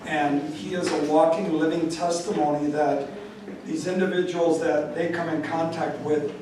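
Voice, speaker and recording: A man's voice: fairly high pitch, level tone, normal speed, recorded from a distance. A man moderating a community meeting, speaking informally to the public in short, emphatic phrases. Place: large echoey meeting room. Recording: very echoey, noisy room from afar.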